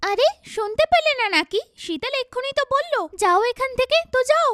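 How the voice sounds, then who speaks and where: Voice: extremely high pitch, animated, fairly quick, fairly bright tone, dry recording, clean studio sound. A performer voicing an earnest, chatty young schoolgirl in a children's cartoon, with exaggerated, emphatic childlike delivery. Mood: indignant.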